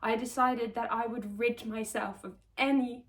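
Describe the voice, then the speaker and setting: A woman from Sweden telling her own life story to camera, earnest and reflective, with halting restarts. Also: fairly high pitch, fairly even intonation. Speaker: vlogger. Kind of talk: personal confessional storytelling. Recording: voice slightly distant from the mic.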